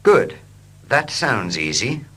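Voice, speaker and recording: A man reading short English dialogues slowly and clearly for learners, line by line. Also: mid-range voice. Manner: slow, clear and expressive. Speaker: English teacher. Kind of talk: slow scripted reading for learners.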